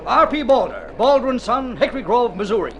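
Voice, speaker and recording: An actor playing a hearty, folksy small-town American druggist out on a spree, chuckling and backslapping in golden age radio drama style. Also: male voice, extremely high pitch, lively intonation, fairly quick, dull audio. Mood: hearty, chummy. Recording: noisy vintage radio drama recording.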